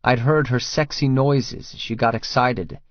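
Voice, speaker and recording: A man's voice: mid-range voice, steady tone, a little slow, no room sound, lo-fi sound. A man reading first-person romance fiction as an audiobook narrator, in a scripted storytelling style.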